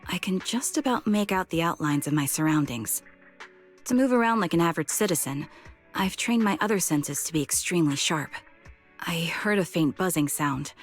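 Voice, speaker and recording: An actress playing a composed, reserved retired female soldier in a video game, speaking in a calm, formal and measured way. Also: on the low side, natural voice. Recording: music under the voice.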